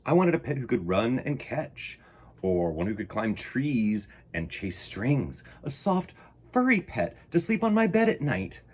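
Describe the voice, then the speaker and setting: A man hosting story time, reading a children's picture book aloud in an expressive, emphatic way and talking directly to young listeners. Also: medium pitch, measured pace, breathy edge.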